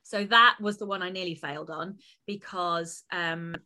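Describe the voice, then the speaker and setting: Chatty bright-voiced woman podcast host. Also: fairly low pitch, normal speed. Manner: animated, laughs often, rambling with restarts. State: voice lower than usual. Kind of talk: casual chat.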